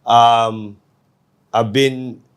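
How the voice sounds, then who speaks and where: A man's voice: medium pitch, flat delivery, very slow, clear, resonant tone. Chatty Nigerian man in his thirties. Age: 30s. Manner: chatty, teasing, laughs often. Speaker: guest. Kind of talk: casual chat.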